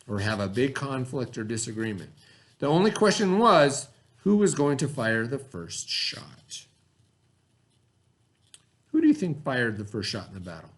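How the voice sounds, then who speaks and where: A man reading aloud like a teacher to pupils, pausing to explain words and put questions to his listeners. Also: a little high, fairly bright tone. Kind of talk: educational read-aloud with asides and questions.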